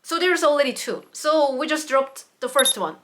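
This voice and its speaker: A young woman, a native Korean speaker, leads an online grammar lesson in English. Her voice sits a bit high. She talks in a relaxed, explanatory way and says Korean example phrases slowly and more than once. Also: slight background noise. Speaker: Korean language teacher.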